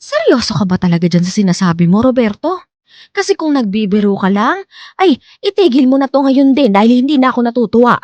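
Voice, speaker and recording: An actress playing an older Filipino woman being courted, guarded, skeptical and quick to scold, in radio drama style. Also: on the high side, big pitch swings, a little mellow, dry recording, muffled recording. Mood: disbelieving.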